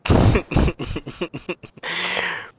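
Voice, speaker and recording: A man's voice: high voice, steady tone, very slow, husky, poor audio. A man streaming a game live, chatting casually with slangy, profane, playful reactions. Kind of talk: casual livestream chat over gameplay.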